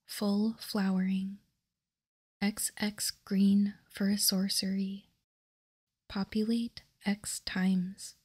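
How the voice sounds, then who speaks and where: A woman doing whispered ASMR in a soft, breathy, unvoiced whisper, reading out card text calmly and deliberately, close to the microphone. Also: medium pitch, dry recording. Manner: slow, deliberate, calm reading. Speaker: ASMR video host. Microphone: close microphone, dry sound.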